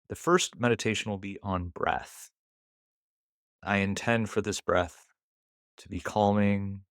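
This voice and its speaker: A man leading a guided meditation, speaking deliberately in short phrases with pauses between them. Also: on the low side, dry recording, clean studio sound.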